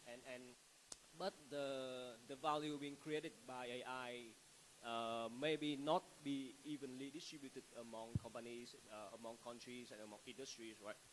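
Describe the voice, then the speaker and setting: A man's voice: medium pitch, fairly even intonation, moderate pace, rough recording. A man moderating a panel, framing questions hesitantly, repeating words and checking for agreement. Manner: hesitant, repetitive, seeks agreement with tag questions. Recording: live stage microphone with faint hiss.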